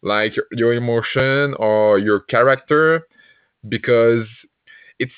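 Slow, hesitant man. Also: mid-range voice, clear, resonant tone, no room sound. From Quebec, Canada. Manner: hesitant, many fillers and restarts, laughs often. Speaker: podcast guest, improv player. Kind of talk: casual chat. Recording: tinny call audio, dry.

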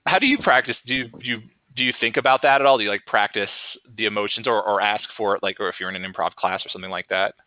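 A man hosts a casual podcast chat and rambles in an animated way, often restarting his thoughts. His voice is a little low for a man. The audio is tinny and dry, like a video call. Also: fast, hardly any pauses, no echo. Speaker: podcast host, improviser.

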